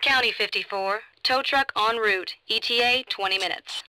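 Police radio dispatcher, video game, brisk and composed, higher-pitched, clicky static. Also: female voice, pushed and bright, rough recording. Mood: businesslike. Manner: clipped, procedural.

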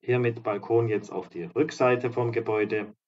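A slightly hoarse man giving an upbeat, promotional walkthrough of apartments as a real estate agent and vlogger. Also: mid-range voice, steady tone, normal speed, muffled recording. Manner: upbeat, promotional, walks through rooms while talking. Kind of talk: property tour narration. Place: apartment complex in a seaside town, indoors and outdoors.

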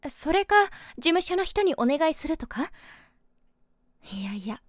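A woman acts out a sociable streamer in her late twenties for an audio drama. The voice is high and recorded close and dry. She speaks politely, as if addressing followers on a livestream. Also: big pitch swings, measured pace, no room sound. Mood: pensive, flustered. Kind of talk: situation voice drama.

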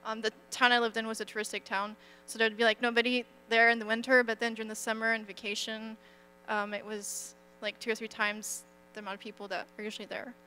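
A young woman from France on an auditorium stage, talking about her hometown in a soft-spoken way full of fillers. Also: on the high side, little pitch movement, quick pace, a little hiss or hum, rough recording. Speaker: student panelist.

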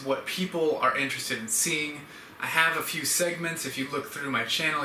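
A man's voice: mid-range voice, pushed and bright. A young man hosting a casual vlog, talking to camera in a rambling, conversational way. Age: young adult. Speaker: YouTube channel host. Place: indoor room at home, standing. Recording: echoey room, distant mic, background hiss.

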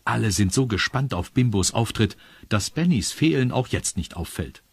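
Male storyteller, deep and very raspy, dry close sound, narrating a children's audio drama. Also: lively intonation, normal speed, no echo.

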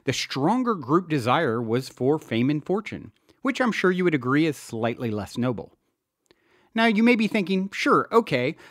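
A man from the US East Coast reading his own self-help book in a deliberate, earnest way. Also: fairly high pitch, a bit bright, no room sound, studio quality. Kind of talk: scripted audiobook narration.

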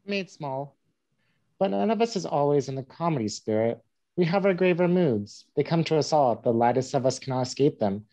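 Woman as lofty, lilting mock orator, mellow. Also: deep voice, animated, moderate pace. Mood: lofty, earnest. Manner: declamatory, lofty. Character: young girl mimicking a pompous newspaperman reading aloud. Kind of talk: staged play reading, oratory. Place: home room, video call. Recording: muffled video-call audio.

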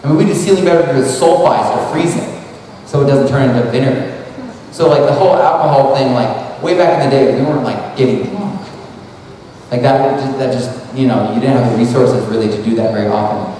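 A man's voice: mid-range voice, fairly quick, mellow, slight background noise. A man in his thirties giving a casual, rambling conference talk on a handheld mic, full of "like" and restarts. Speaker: speaker. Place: large hall at an expo. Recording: echoey hall recorded from far off.

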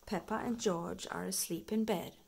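A woman storyteller reading a children's picture book aloud in a measured, animated way. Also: medium pitch, creaky, a little hiss or hum. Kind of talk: slow expressive read-aloud for young children.

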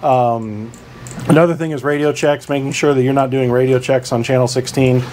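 A man presents an informal how-to guide in a mid-range voice. He is plain-spoken and instructive, taking things one step at a time. Clear ambient noise runs behind his speech. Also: medium pitch, steady tone, moderate pace, a little mellow. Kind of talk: informal tutorial explanation.

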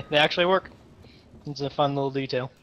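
A man's voice: medium pitch, fairly even intonation, brisk, highs cut off, a little hiss or hum. A man making casual, joking remarks as co-commentator while watching a video game. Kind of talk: casual chat over gameplay.

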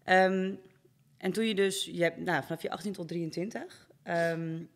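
A woman's voice: on the low side, steady tone, a little slow. A young adult woman hosting a conversational interview and putting questions to her guest. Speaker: interviewer, host. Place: hair salon, indoors. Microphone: studio microphone on a stand, close.

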